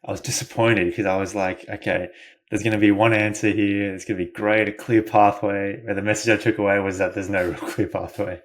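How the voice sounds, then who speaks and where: A man's voice: on the low side, flat delivery, brisk. A man hosting a podcast interview in a casual, conversational style, thinking aloud informally.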